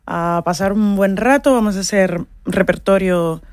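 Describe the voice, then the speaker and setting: Woman, Cuban accent, musician guest, casual talk, hesitant phrasing, medium pitch, dry recording. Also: unhurried, a little mellow, no room sound. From Holguín, Cuba. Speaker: musician, singer, interview guest.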